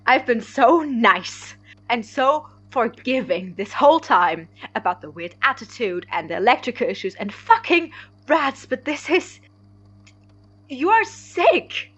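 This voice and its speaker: Woman as young male college roommate, audio drama, husky, slowish, blunt, anxious, emotional, slightly high voice. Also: sing-song, a bit bright, muffled recording, full character voice. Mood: outraged.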